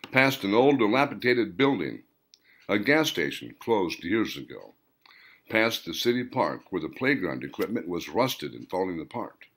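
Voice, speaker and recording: A man reading a story as a scripted audiobook narration, in deliberate, dramatic phrases broken by pauses. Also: fairly low pitch, fairly bright tone. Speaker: author reading own novel, narrator.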